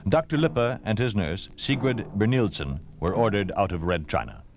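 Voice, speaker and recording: A man's voice: on the low side, expressive, normal speed, very noisy. A man with an American accent announcing on 1950s radio, reading a script in a grave, formal, emphatic way. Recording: vintage 1950s radio broadcast. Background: dramatic orchestral music.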